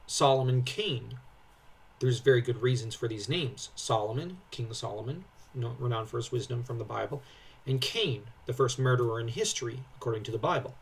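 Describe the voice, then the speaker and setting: Husky young man, slightly distant mic. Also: mid-range voice. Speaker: co-host commentator. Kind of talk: casual lore breakdown commentary.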